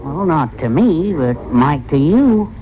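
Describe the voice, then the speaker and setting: A man's voice: fairly high pitch, sing-song, slow pace. An American man playing a frightened, folksy frontier bartender in a radio western, nervous and hesitant. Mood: wary. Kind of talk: 1940s-50s radio western acting. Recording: noisy, muffled old radio recording.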